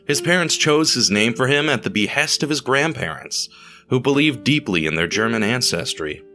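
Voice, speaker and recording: A man reading a scripted story as narrator, in a measured, dramatic way with deliberate pauses. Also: mid-range voice, animated, bright, forceful tone. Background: music.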